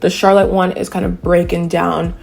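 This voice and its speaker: A woman doing a casual beauty product review at home, chatty and talking straight to her viewers, her voice a little raspy and tired. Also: on the low side, flat delivery, moderate pace, darkish tone. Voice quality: slightly raspy. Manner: chatty, addresses viewers as y'all. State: tired after a nap. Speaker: beauty vlogger. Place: home room by a window.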